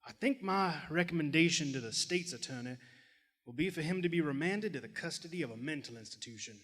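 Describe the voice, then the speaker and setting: A man playing a measured, formal, slightly halting doctor in a live old-time radio drama in a hall. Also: a little high, lively intonation, a bit bright. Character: measured, hesitant male doctor. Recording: reverberant hall, music behind.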